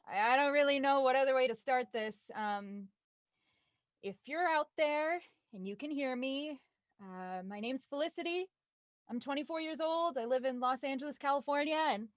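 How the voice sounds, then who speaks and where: A woman's voice: sits high, a bit bright, dry recording. A woman playing an anxious twenty-four-year-old writer finding her nerve, in a naturalistic, hesitant audio drama performance. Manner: hesitant, earnest.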